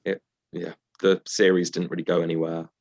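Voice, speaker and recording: A man in his twenties from England, co-hosting a comics podcast with blunt, deadpan remarks. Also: deep voice, a little fast, creaky, no echo. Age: 20s. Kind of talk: casual comics discussion.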